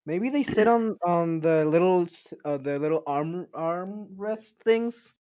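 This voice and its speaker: A man's voice: high-pitched, slow, a little mellow, dry recording, highs cut off. A man in casual banter as a podcast panelist.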